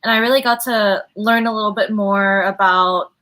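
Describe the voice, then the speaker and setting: A woman's voice: medium pitch, steady tone, bright, forceful tone. Hesitant woman panelist, frequent ums. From United States. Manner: hesitant, many "um" pauses. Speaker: panelist, engineer. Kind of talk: casual panel chat.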